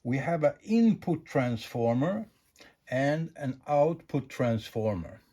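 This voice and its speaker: A man with an average-pitched voice explains audio equipment in an informal, unscripted way. He is a non-native English speaker and talks slowly, pausing often as he searches for the next phrase. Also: very animated, mellow. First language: not English. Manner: halting, unscripted, with long hesitations.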